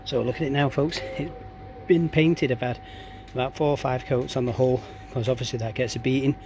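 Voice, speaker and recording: Flat-toned man, noisy outdoor recording. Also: medium pitch, a little fast. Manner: chatty, informal, rambling. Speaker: DIY vlogger. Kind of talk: casual handheld vlog narration. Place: outdoors in a back garden. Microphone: camera or phone held by the speaker. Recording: outdoor ambient noise, handheld camera.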